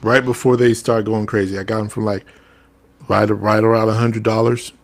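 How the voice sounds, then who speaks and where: A man's voice: fairly low pitch, flat delivery, normal speed. A man hosting a podcast, chatting in an easygoing, agreeable way. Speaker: podcast host. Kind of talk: casual chat.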